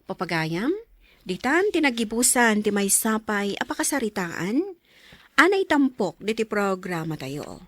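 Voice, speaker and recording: A woman's voice: medium pitch, big pitch swings, a little slow, a bit bright, no echo. Woman announcer with a dry, close sound. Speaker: radio drama host and announcer. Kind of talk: scripted devotional narration and credits.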